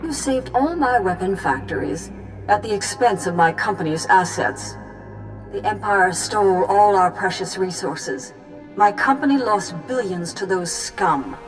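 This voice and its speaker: An actress playing a sarcastic, aggrieved company executive in a video game, speaking in a biting, drawn-out way. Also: female voice, mid-range voice, animated, faint music behind, natural voice, rough recording. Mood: bitter sarcasm. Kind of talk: video game cutscene dialogue.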